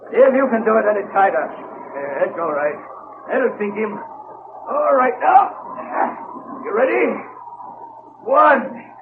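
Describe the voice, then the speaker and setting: An actor playing a gruff, callous prison guard, offhand and colloquial, in old radio drama style. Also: male voice, sits high, exaggerated voice. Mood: urgent, shouting. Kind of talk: 1930s-40s radio drama acting. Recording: distant, noisy, tinny old radio recording.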